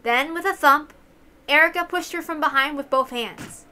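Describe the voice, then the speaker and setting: A woman's voice: sits high, moderate pace, sharp tone. A woman reading a visual novel aloud and adding casual commentary of her own.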